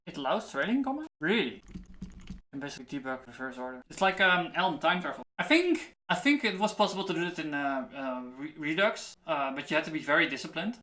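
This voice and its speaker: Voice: mid-range voice, lively intonation, a little fast, sharp tone. A live-coding streamer thinking aloud while programming, muttering half-finished thoughts and casual remarks to the code.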